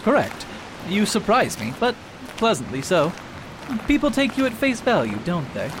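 A man playing a lively, lecturing detective. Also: sits high, normal speed, background noise. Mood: self-assured. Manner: precise, lecturing deductions. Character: confident middle-aged male detective doctor. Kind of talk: amateur radio drama acting.

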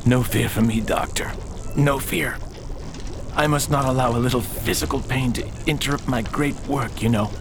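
A man acting in a radio drama as an obsessed, ailing old father and alchemist, grandiose and excitable. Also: mid-range voice, normal speed, natural voice. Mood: grandly confident. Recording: clearly noisy background ambience.

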